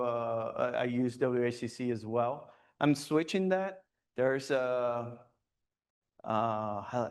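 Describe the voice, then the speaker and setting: A man speaking at a club meeting in a loose, conversational way, often stopping and restarting with fillers. His voice is smooth and clear at a middle pitch. It sounds like a relaxed talk in a meeting room. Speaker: guest speaker at a photography club. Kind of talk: presentation with audience questions.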